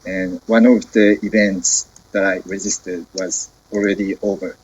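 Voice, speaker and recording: Husky Japanese-accented man, halting. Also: sits low, flat delivery, a little mellow, lo-fi sound. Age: 20s. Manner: careful, halting, searching for words. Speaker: committee member. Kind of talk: self-introduction in a meeting. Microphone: video call.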